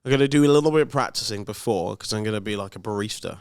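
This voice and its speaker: A man's voice: lowish voice, racing, clean tone, no room sound, clean studio sound. A man of about forty, co-hosting a podcast with casual, teasing banter. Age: around 40. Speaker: podcast co-host.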